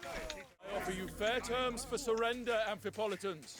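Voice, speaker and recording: Spartan commander, high male voice, oratorical, firm, persuasive, video game drama. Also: animated. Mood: formal oratory. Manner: oratorical, formal, measured persuasion.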